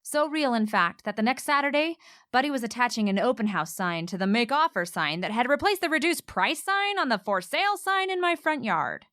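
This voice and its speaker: Actress playing single woman, wry, exasperated, high-pitched, radio play narration and dialogue. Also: sing-song, fairly quick, fairly bright tone. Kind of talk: radio play acting and first-person narration.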